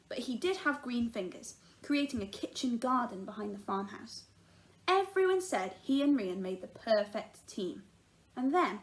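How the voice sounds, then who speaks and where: High-pitched woman reading a children's story. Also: rough recording. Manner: animated, acts out the dialogue. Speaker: teacher reading a children's book aloud. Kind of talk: expressive storytime narration.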